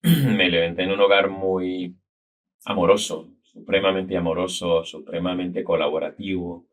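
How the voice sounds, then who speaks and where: A middle-aged Colombian man with a Cali-area accent, a guest telling his life story in a measured, reflective way. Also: deep voice, fairly even intonation. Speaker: guest, business consultant. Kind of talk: casual interview talk.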